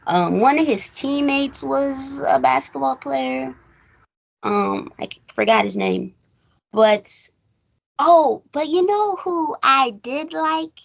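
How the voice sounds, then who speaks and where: A woman's voice: sits high, animated, slow pace, gravelly, rough recording. A woman hosting a casual film discussion, rambling conversationally and thinking aloud as she goes. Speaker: host of a film recap show.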